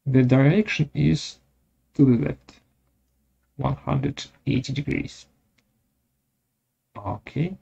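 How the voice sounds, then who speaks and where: A man presenting a step-by-step software tutorial in short, measured, halting phrases. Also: mid-range voice, gravelly, slightly rough. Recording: dull lo-fi audio.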